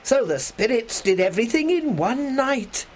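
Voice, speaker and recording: An old reformed miser from a storybook, bursting with gleeful exclamations. The voice sits high and swings widely in pitch, giddy and emotional. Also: male voice, big pitch swings, normal speed. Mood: excited glee.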